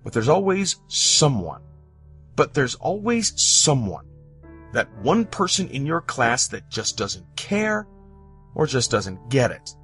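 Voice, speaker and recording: A man from the United States narrating scripted personal stories, with dramatic, deliberate phrasing and pauses. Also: medium pitch, wildly swooping pitch, a bit bright, breathy edge. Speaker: storyteller. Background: background music.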